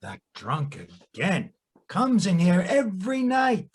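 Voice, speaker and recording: Weary, folksy barkeep, high-pitched and slow with big swings, in a noir radio play. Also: male voice, huge pitch swings, husky, heavily acted. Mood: grumbling. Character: world-weary, grumbling, excitable male bartender. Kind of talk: noir audio drama acting.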